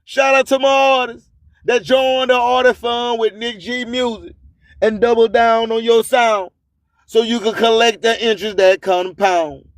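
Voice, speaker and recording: A man's voice: very high voice, fairly even intonation, unhurried, dry recording. A man hosting an internet radio show in an emphatic, declamatory hype style, repeating slogans.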